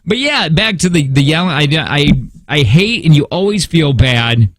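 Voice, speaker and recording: A man's voice: fairly high pitch, big pitch swings, normal speed, fairly bright tone, dry recording. Male radio host, 30s, rambling and self-doubting, dry studio sound. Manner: rambling, self-reproachful, many restarts. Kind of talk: casual morning radio chat. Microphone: studio mic.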